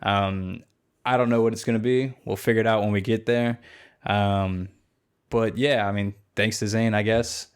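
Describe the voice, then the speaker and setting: A young man chats casually as a podcast host. His voice sits on the low side, and he rambles, stacking fillers and restarts as he talks. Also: fairly even intonation, moderate pace, clean tone.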